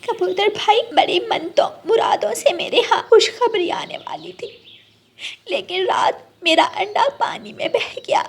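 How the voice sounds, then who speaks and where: A woman's voice: very high voice, measured pace, creaky, voice pushed up, full character voice. A woman voicing a distraught mother bird in a children's cartoon, pleading in a plaintive, wailing manner. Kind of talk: Hindi children's cartoon voice acting.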